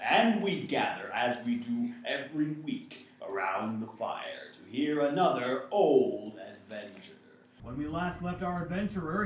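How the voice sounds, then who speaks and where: A man's voice: mid-range voice, natural voice. Guy doing a heroic adventurer radio drama narrator, very theatrical, far from mic, noisy room. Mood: solemn, suspenseful. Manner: melodramatic, solemn storyteller. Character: heroic male adventurer knight narrating his dragon quest. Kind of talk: old-time radio adventure play. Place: live theatre stage with audience. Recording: echoey live hall, distant mic, noisy.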